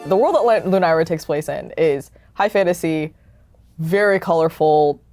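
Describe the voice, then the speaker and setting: A woman talking casually in an interview as a project's creator, expressive and peppering her speech with "like". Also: deep voice, normal speed, music in the background. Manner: animated retelling with acted-out quotes, frequent "like". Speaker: creator of an audio drama.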